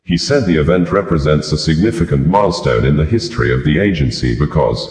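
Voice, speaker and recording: Very deep-voiced man reading news. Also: expressive, a little mellow, echoey room. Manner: measured, formal delivery. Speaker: news reader. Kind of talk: reading news reports aloud.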